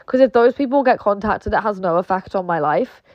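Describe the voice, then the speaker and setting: A woman's voice: medium pitch, lively intonation, fairly quick, no room sound, dull audio. A young woman with a British accent ranting casually, swearing a lot and filling her speech with "like", mimicking people she argues with. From not British; moved to England. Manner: swears often, many "like" fillers, mocking quoted voices.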